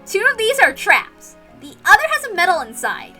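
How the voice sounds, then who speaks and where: A woman's voice: very high voice, huge pitch swings, moderate pace, pushed and bright, faint music behind, pitched above the performer's own voice, full character voice. A woman voicing a teasing, playfully menacing young woman who sets puzzles in a visual novel read-aloud.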